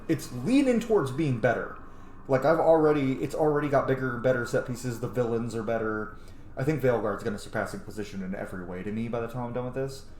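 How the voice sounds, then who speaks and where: A man in his thirties hosts a let's play with loose, opinionated chatter and plenty of swearing. His voice sits at a middle pitch. The room rings audibly, with clear game noise behind him. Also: a little mellow. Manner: rambling, opinionated, frequent swearing. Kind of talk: casual gaming commentary. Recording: echoey room, noisy game ambience. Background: video game ambience.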